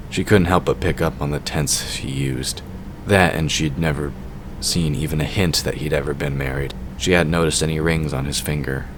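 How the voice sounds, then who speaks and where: A man reading scripted story narration as the narrator of an audio drama. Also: a bass voice. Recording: clearly noisy background ambience.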